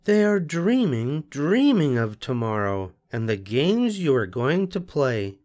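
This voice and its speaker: An older man reading a storybook aloud, voicing a patient, kind grown male rabbit in a soft, soothing bedtime tone. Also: mid-range voice, sing-song, takes it slowly, pitched above the performer's own voice.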